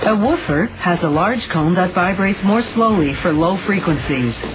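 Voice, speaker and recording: A woman reading scripted explanatory documentary narration in a measured, instructional manner, recorded as a studio voice-over. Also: fairly low pitch, expressive, poor audio. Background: background music.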